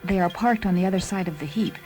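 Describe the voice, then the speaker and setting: Calm, measured female car computer played by a woman. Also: fairly low pitch, close to an everyday voice. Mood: calm, reporting. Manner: measured, matter-of-fact reporting. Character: Jenny, loyal female talking combat car, calm and dutiful. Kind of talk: dramatized science fiction story reading. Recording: music behind the voice.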